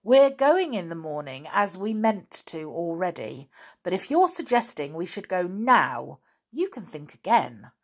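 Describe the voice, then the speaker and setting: A woman voicing a calm, determined boy standing up to grown men, in a storybook reading. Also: lowish voice, measured pace, full character voice. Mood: firm. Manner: calm but firm.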